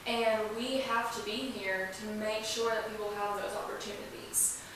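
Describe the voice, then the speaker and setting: A woman's voice: medium pitch, fairly even intonation, moderate pace. A woman giving conversational, informal answers as an enthusiastic interview guest. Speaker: interview guest, museum staffer. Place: indoors, echoey room. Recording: echoey room, distant mic, hiss.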